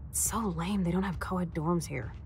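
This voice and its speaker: A woman's voice: on the low side, moderate pace, gravelly, noisy background. An actress playing a brash, cheeky teenage girl sidekick in a video game, slangy, teasing and bold. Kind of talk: video game dialogue acting.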